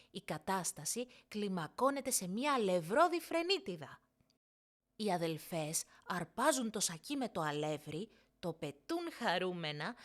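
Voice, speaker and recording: A woman narrates a story for children. Her voice sits at a medium pitch and moves up and down a lot, in an expressive read-aloud storytelling style. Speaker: audiobook narrator.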